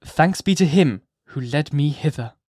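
A man's voice: a little high, animated, unhurried, darkish tone, slightly rough, dry recording, studio quality. A man voicing a frail, anguished minister who pleads in a raised, impassioned voice, reading an old novel aloud. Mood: solemn. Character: frail anguished male minister, pious and fervent.

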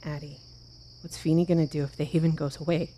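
A woman's voice: sits low, little pitch movement, quick pace, mellow, slight background noise, natural voice. A woman playing an anxious, guarded wife with secrets in an audio drama, speaking in hesitant phrases. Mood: worried.

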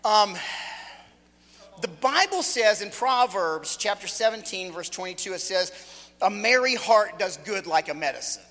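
A man's voice: high voice, expressive, unhurried, pushed and bright. A man in his late forties preaching a sermon as a visiting speaker, loose and joking, talking conversationally with frequent restarts. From Los Angeles, California. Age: 40s. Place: church hall. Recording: echoey hall with long reverb.

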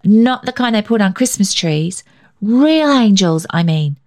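A woman's voice: medium pitch, lively intonation, measured pace, darkish tone, voice pushed up, close to an everyday voice. A woman voicing an eager little boy talking to his grandfather in a storybook reading.

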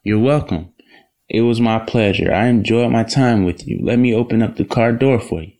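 A man playing a courteous, earnest young gentleman courting his sweetheart in an audio drama. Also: mid-range voice, moderate pace, natural voice.

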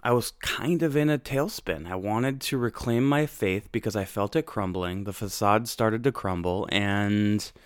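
A man's voice: lowish voice, a little slow, few pauses, clear, resonant tone, no room sound, studio quality. A young American man hosting a podcast, telling his own story in a reflective, conversational way with frequent fillers and restarts. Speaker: podcast host.

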